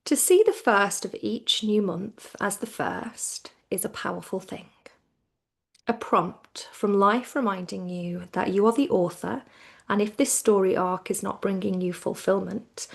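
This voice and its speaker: Slow, creaky-voiced woman guiding relaxation. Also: mid-range voice. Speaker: yoga nidra teacher.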